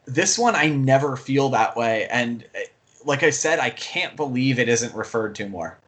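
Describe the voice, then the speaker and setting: A man's voice: mid-range voice, animated, moderate pace, a bit bright. A man co-hosting a podcast, talking casually about movies in an enthusiastic, rambling way. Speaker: podcast co-host and film fan.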